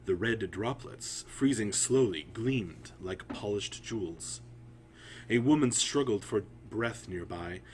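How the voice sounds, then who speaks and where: A man hosting a storytelling livestream, speaking haltingly with frequent pauses. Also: on the low side, lively intonation. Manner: halting, pausing often. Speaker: writer, editor and stream host. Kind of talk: casual livestream chat and story reading. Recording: audible background hum and noise.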